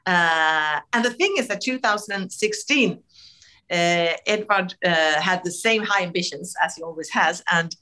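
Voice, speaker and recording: A middle-aged Swedish woman with a Swedish accent, telling animated stories in a casual interview, laughing often and full of fillers and restarts. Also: on the low side, unhurried. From Sweden. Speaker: TV host, interview guest.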